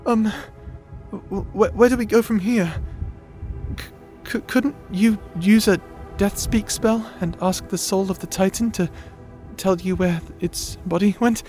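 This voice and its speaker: A man playing a shy, nerdy, excitable young wizard in an audio roleplay, with a stammering, flustered, self-doubting manner. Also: high-pitched, measured pace, faint music behind. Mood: uneasy, apologetic. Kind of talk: scripted audio roleplay acting.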